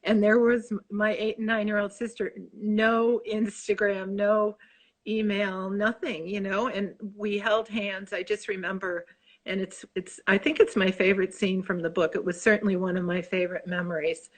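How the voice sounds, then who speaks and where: An effusive woman in her sixties with a Chicago accent chats on a video call. She rambles and cuts herself off as she talks about her book, with a mid-range pitch. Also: flat delivery, moderate pace. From Chicago, USA. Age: 60s. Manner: effusive, rambling, self-interrupting. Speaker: author guest. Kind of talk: casual interview chat.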